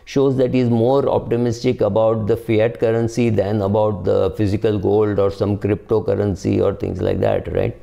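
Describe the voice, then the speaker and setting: An older man with an Indian English accent, explaining investing to camera at home in a measured, unscripted way with many fillers. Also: on the low side, vocal fry, dark tone. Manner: measured, explanatory, frequent "uh" fillers and "right?" tags. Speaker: personal finance video host. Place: home study with bookshelves, indoors.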